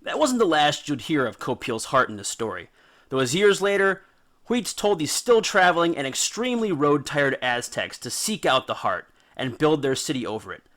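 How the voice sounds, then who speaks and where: A man tells a tale as a playful storyteller. His voice is fairly high and very bright, with a forceful edge. He delivers scripted narration in casual wording, with theatrical punch and dramatic pauses. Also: very animated. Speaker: host and narrator of a mythology series.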